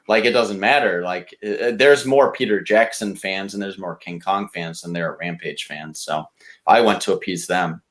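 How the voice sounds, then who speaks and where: Guy with a lowish voice pitching movie stuff on a podcast, casual and sweary. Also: moderate pace, fairly bright tone.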